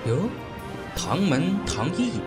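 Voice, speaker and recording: A man playing a hearty, protective beggar-clan brother in a Chinese wuxia audio drama, gruffly jovial. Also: a little high, big pitch swings, slow pace, over music.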